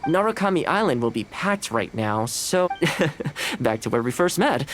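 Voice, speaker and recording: A man's voice: on the high side, sing-song, measured pace, fairly bright tone, a little hiss or hum. An actor playing a friendly, easygoing young male housekeeper in an English-dubbed anime game, speaking in a casual, considerate way. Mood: friendly, upbeat.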